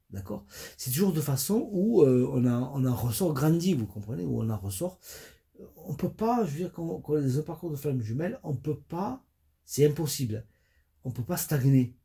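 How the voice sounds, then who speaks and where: A man with a cold and a stuffy nose, talking as a spiritual coach in a halting audio monologue full of fillers and restarts. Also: on the high side, warm tone. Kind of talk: hesitant spoken audio monologue.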